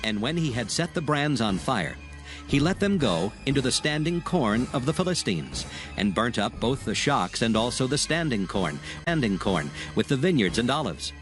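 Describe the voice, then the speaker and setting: A man narrates scripture at medium pitch with lively inflection. His storytelling is solemn and measured, with music clearly playing behind him.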